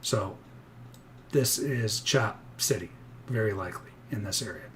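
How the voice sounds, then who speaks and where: A slightly hoarse man casually thinking aloud as a day trader on a live stream, with plenty of restarts. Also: fairly low pitch, animated, unhurried, a little hiss or hum. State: a little hoarse. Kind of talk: casual live trading commentary.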